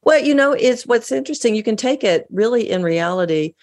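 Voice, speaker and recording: A woman's voice: on the high side, lively intonation, brisk, darkish tone. Earnest, storytelling American woman. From United States. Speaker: guest. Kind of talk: casual interview talk.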